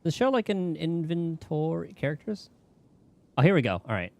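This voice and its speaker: Animated man chatting on a game stream. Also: a little high, normal speed, vocal fry. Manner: rambling, thinking aloud, mild swearing. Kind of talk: casual livestream chat while gaming.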